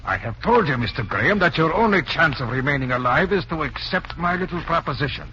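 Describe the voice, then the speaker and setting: An actor playing a calculating Nazi agent who poses as a doctor, formal, measured and coolly menacing in a vintage radio drama. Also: male voice, on the high side, lively intonation, lightly acted. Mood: cold threatening. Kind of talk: 1940s radio drama acting. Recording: muffled, noisy old radio recording.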